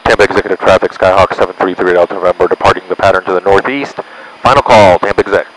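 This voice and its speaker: A man's voice: deep voice, rapid-fire, low-quality recording. A man working as a pilot, making radio calls and explaining things to his passenger over a cockpit headset. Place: small propeller plane cockpit in flight. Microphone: aviation headset boom mic. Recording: headset intercom with engine and radio noise.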